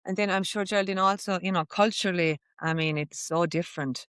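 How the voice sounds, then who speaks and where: Smooth-voiced woman host asking questions. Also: fairly low pitch, steady tone, fairly quick, dry recording, clean studio sound. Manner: warm, encouraging questions, uses guest's name. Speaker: podcast host. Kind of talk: interview conversation.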